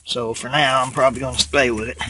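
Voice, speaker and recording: A plain-spoken man casually explaining a hobby setup in an unhurried way, outdoors behind a handheld camera. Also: mid-range voice, steady tone, pushed and bright, slight background noise, rough recording. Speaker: radio hobbyist. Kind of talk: casual home video walkthrough. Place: outdoors in a wooded yard by a house. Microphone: handheld camera, held by the speaker.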